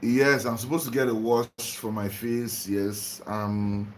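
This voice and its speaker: Slow-talking man from Nigeria, just woken. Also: fairly low pitch, flat delivery, slight background noise. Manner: slow, repetitive, greets viewers by name. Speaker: livestreamer. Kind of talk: casual livestream talk on spirituality.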